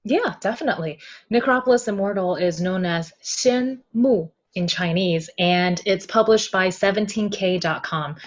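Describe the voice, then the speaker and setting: A woman guest on a podcast, talking about her translation work in an easygoing, chatty way. Her voice sits at an ordinary pitch, and the audio is somewhat dull and lo-fi. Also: moderate pace, hardly any pauses. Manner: easygoing, laughs often. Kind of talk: casual interview chat.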